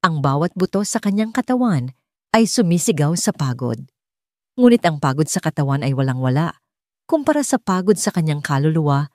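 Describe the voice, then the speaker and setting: Woman narrating drama, lowish dry voice. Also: mellow, no room sound. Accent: Filipino. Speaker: story narrator. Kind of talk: dramatic scripted audiobook narration.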